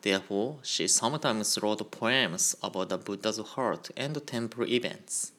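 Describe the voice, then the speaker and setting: A man giving a Buddhist Dharma talk on a radio program, in a slow, measured and careful delivery. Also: lowish voice, bright, forceful tone. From Japan. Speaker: Buddhist minister.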